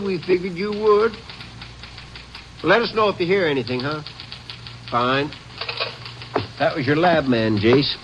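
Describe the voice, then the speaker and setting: An actor playing a folksy, informal small-town Western sheriff with an American accent in an old-time radio drama. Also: male voice, fairly high pitch, close to an everyday voice. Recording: dull vintage radio recording, faint noise.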